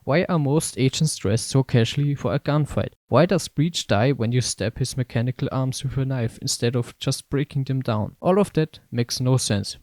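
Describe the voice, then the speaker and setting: Male video essayist: scripted game-review narration, relaxed soft tone, medium pitch, close dry recording. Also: normal speed, few pauses, no room sound.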